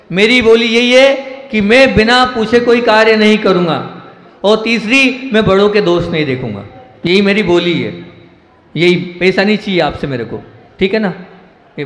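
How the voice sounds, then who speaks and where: High-voiced man preaching in an echoey hall. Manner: rhetorical questions to the audience, repeating phrases for emphasis; swings between raised emphatic lines and quiet low asides. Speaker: Jain monk giving a discourse. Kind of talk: sermon. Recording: echoey hall with long reverb.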